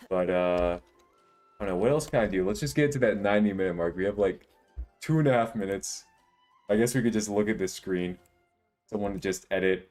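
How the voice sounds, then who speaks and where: A man in his twenties with a deep voice, chatting casually and jokingly while live-streaming a video game. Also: a little mellow. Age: 20s. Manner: laid-back, joking, rambling.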